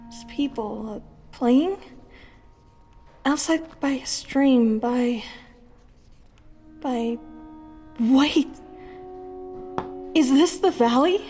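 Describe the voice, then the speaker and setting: A woman's voice: high-pitched, drawn-out words, pauses often, warm tone, soft background music. A woman playing a curious, earnest young female adventurer in an audio drama, full of hesitant wonder. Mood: hesitant recognition.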